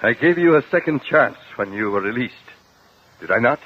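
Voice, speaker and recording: An actor playing a stern older judge and strict uncle, commanding and scolding, in a 1950 radio drama. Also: male voice, mid-range voice, lively intonation, normal speed, breathy edge. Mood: stern, indignant. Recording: muffled vintage 1950 radio recording.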